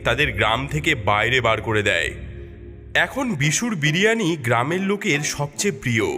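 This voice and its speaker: A man's voice: a little high, very animated, fairly quick, sharp tone. Male cartoon narrator over music. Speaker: narrator and character voice. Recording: clear music behind.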